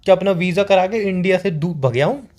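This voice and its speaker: A man's voice: sits high, fast, a little mellow. A young man playing a boyfriend on a staged couple phone call, joking and teasing.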